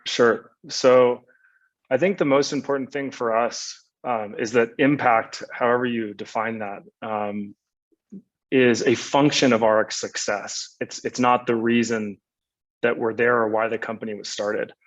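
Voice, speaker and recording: A man's voice: mid-range voice, steady tone, unhurried. A man explaining his company's approach as a webinar panelist, over dull, muffled online call audio.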